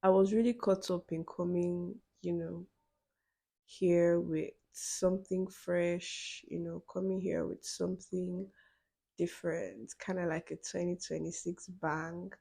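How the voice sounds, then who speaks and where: A young woman talks easily through her solo podcast, her voice husky and grainy with a low edge of fry. She sits on the lower side for a woman and keeps dropping in "you know" as she thinks aloud. It sounds like a friendly, unscripted chat. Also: steady tone, a little slow, warm tone. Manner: chatty, many "you know" fillers, laughs between phrases. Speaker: podcast host.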